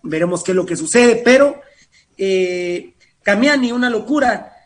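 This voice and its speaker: A man's voice: sits high, animated, unhurried. A man with a Guatemalan accent hosting an informal sports talk show in an irreverent, slangy, profane manner. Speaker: host. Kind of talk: informal radio-style sports talk with co-hosts.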